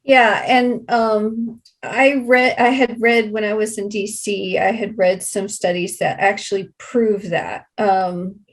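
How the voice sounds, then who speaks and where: A woman's voice: mid-range voice, fairly even intonation, slow, hardly any pauses. A woman in casual conversation, agreeable and explaining things plainly.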